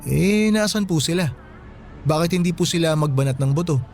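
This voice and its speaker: An actor playing a kindly, respectful Filipino security guard, speaking gently in a radio drama. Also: male voice, a little high, moderate pace, soft background music, close to an everyday voice. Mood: curious.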